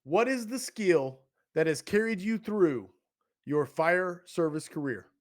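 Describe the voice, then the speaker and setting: Podcast host, male, slightly high-pitched, enthusiastic and jokey. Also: expressive, a little slow. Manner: enthusiastic, laughing, playful. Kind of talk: casual interview chat.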